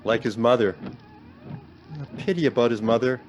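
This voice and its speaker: A reassuring but sceptical professor in a radio play, acted by a man, has a mid-pitched voice. He speaks formally, with dry understatement, over faint vehicle noise. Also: normal speed, a little mellow, dull audio, close to an everyday voice. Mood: wry, subdued.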